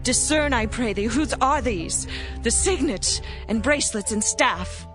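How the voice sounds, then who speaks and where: A self-possessed young Hebrew widow from a biblical audio drama, acted by a woman. Her voice is fairly high and her archaic lines are deliberate. Music plays clearly behind her. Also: lively intonation, fairly bright tone. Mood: composed challenge. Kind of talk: dramatized scripture acting.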